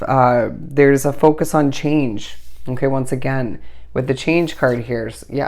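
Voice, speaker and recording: A tarot reader talking straight to camera, hoarse from a recently lost voice. The delivery meanders through intuitive hunches and keeps turning back to the listener with reassurance. The pitch sits right in the middle. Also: darkish tone. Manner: reassuring, addresses the listener directly. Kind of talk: solo tarot reading to camera.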